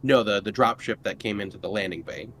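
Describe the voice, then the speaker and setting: A man's voice: fairly low pitch, a little fast, a bit bright. A man talking casually as a player in a tabletop game discussion. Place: online voice call.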